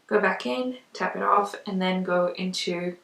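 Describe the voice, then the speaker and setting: A woman's voice: lowish voice, unhurried, vocal fry, fairly bright tone, slight background noise. A woman giving a casual makeup tutorial in a chatty, conversational way. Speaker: makeup vlogger. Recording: roomy echo, distant mic.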